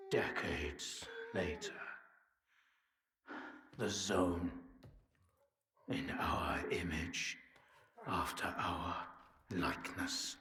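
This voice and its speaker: An actor playing an older male scientist in a video game, regretful and bitter, with a deliberate, sardonic, theatrical delivery. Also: fairly low pitch, exaggerated voice. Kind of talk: dramatic video game monologue. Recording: roomy echo with noise behind.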